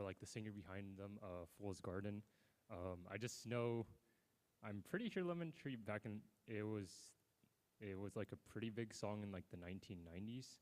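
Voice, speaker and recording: Laid-back young man, low mellow voice. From United States. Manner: relaxed, rambling with many fillers. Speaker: open mic performer. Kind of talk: casual stage chat. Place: outdoor amphitheater.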